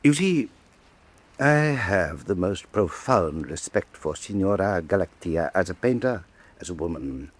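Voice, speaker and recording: A man playing a suave, cynical Venetian official who teases and commands a painter, with a husky voice. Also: low-pitched, big pitch swings, a little slow, heavily acted. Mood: silky menace. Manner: urbane, teasing, imperious. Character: suave cynical older male art patron, Venetian official. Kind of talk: radio drama acting.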